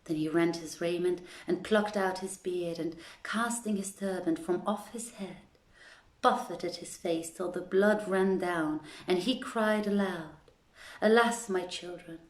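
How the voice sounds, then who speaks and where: A woman reading a story aloud as a presenter, with a measured, deliberate and dramatic delivery. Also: fairly low pitch, echoey room. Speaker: reader presenting a literary reading series.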